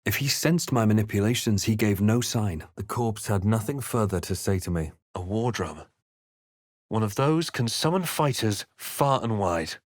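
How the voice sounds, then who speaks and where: A male fantasy game hero with a fairly low voice. He tells of his journey with solemn, measured drama and a brooding temper, in a very dry sound. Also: expressive, dry recording. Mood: wary. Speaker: player character voice actor.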